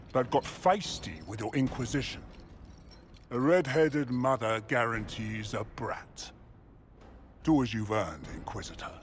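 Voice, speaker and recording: An actor playing a gruff, cheerful barbarian chieftain and father in a video game, blunt and amused. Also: mid-range voice, slight background noise.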